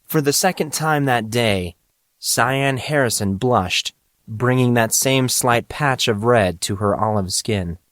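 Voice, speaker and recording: Male narrator for audio fiction, mid-pitched, scripted read, recorded dry and close. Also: slow pace, a bit bright, no room sound.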